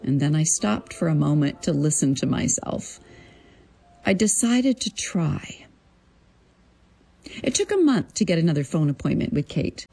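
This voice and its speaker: Low-voiced, creaky woman telling personal stories. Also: faint music behind. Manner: expressive, deliberate literary delivery, acts out dialogue. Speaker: writer and memoirist; creative writing teacher. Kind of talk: prepared personal storytelling, narrated memoir.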